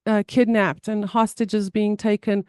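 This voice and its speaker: A woman's voice: mid-range voice, flat delivery, measured pace, a little mellow, dry recording, clean studio sound. A woman with a South African accent, a therapist speaking earnestly in an interview, often restarting and repeating words. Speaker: guest, somatic therapist. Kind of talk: conversational interview.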